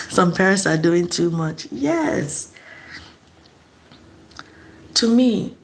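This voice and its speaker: A woman's voice: fairly low pitch, lively intonation, very slow. A middle-aged woman from eastern Nigeria, speaking in a casual, earnest vlog monologue and asking reflective questions. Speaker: vlogger.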